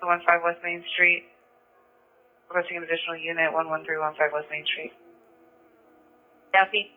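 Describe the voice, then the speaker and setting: A man's voice: a little high, little pitch movement, moderate pace, narrow, tinny audio. A man working as a police dispatcher, giving short radio calls over a scanner.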